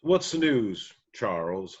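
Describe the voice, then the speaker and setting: Country bandleader: easygoing, folksy, teasing, very unhurried, male actor, slightly muffled audio. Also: fairly low pitch, sing-song, slightly rough, exaggerated voice. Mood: wry. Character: easygoing, folksy country band singer, fond teasing father. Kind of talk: screenplay table read.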